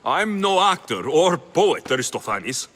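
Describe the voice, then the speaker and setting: An actor playing a firm, no-nonsense young Greek mercenary hero in a video game, blunt and commanding. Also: male voice, on the high side, sing-song, a little slow, a bit bright, full character voice. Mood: questioning.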